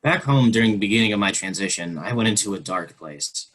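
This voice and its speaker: Low voice, earnest, quietly emotional, play reading on a video call. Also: male voice, expressive, fairly quick, slightly rough, low-quality recording. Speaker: actor reading a teen character in a play.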